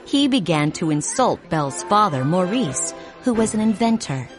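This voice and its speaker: A woman narrating a read-along storybook in a clear, measured, expressive way. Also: lowish voice, music in the background.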